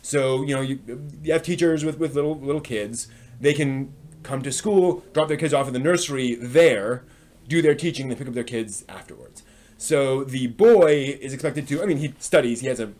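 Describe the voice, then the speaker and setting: A man hosting a live stream, giving unscripted, casual review commentary in a halting, explanatory way with frequent pauses and rephrasing. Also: mid-range voice, a little mellow.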